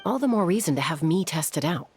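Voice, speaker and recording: A composed, self-assured game heroine who flies. Her calm, measured voice is low with noticeable fry. There is faint ambient noise behind her. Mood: calm, persuasive. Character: composed, confident adult female flier. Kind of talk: video game dialogue acting.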